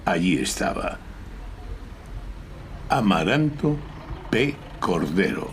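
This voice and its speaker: A dry lawyer telling his own case like noir parody in an audio drama. The voice is deep and gravelly, measured yet swooping in pitch, over some background noise. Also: sing-song, full character voice. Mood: dry, narrating. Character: dry, self-important male lawyer narrating his case.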